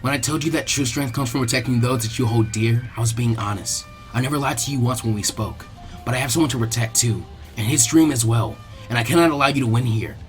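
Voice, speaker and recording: A man voicing a polite, resolute young female ninja in a story reading, soft-spoken, measured and formal. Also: mid-range voice, pitched below the performer's own voice, lightly acted. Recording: music behind the voice.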